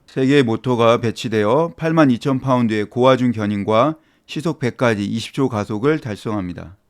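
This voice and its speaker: A male narrator with a mid-range voice reads a scripted explainer. His delivery is formal and measured, like someone presenting a prepared report. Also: mid-range voice, darkish tone.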